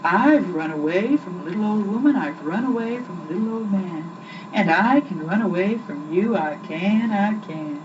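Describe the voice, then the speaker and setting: A woman's voice: mid-range voice, expressive, moderate pace, far from the mic, background noise, natural voice. A woman voicing a boastful little gingerbread boy in a storybook, chanting singsong taunts on a muffled home recording. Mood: gleeful taunting.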